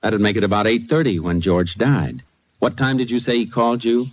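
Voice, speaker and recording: A man acting a steady, shrewd Texas Ranger investigator in an old radio drama, clipped, matter-of-fact and folksy. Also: lowish voice, a little fast, dry recording. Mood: thoughtful. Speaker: lead actor and narrator. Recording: dull old radio recording.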